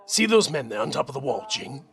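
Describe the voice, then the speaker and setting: A man playing a dutiful young army captain in an audio drama, earnest and measured. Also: mid-range voice, very animated, creaky. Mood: calm, gentle.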